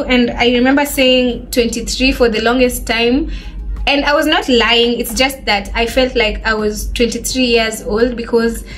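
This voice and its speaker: A woman in her twenties, chatting in a lively way to her webcam while she does her makeup and answers viewers' questions. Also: fairly high pitch. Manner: bubbly, animated, laughs often. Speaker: beauty vlogger. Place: small bedroom, indoors.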